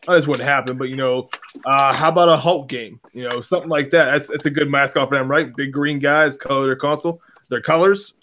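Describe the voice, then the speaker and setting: A man with a hoarse voice chatting casually about video games, rambling and cursing with many restarts. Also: medium pitch, steady tone, fairly quick, pushed and bright, slight background noise. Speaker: podcast co-host. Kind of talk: casual gaming chat.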